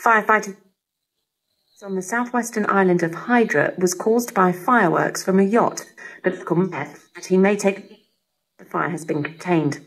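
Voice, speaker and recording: Slightly husky female radio newsreader. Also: medium pitch, moderate pace, poor audio. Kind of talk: scripted radio news bulletin. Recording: shortwave radio broadcast reception.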